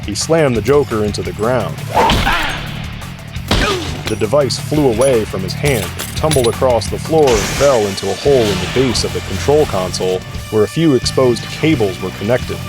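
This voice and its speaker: Guy narrating an audio drama, kinda low voice, scripted storytelling, music behind him. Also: normal speed.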